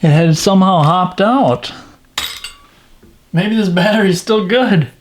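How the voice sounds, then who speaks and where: A man's voice: high voice, slow pace, a little hiss or hum. A man giving casual, informal hands-on commentary, thinking aloud as he works. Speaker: watch restoration video host.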